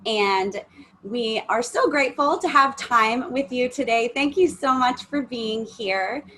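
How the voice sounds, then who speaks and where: A woman's voice: a little high, a little slow, fairly bright tone. A woman working as an editor, interviewing an actress with polite, enthusiastic questions.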